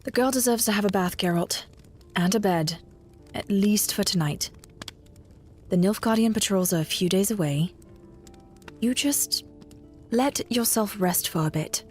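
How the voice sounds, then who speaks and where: A woman playing a sharp-tongued but caring young female bard in an audio drama, wry and matter-of-fact. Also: mid-range voice, unhurried, pauses often, soft background music, natural voice. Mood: gently insistent. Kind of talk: fan audio drama acting.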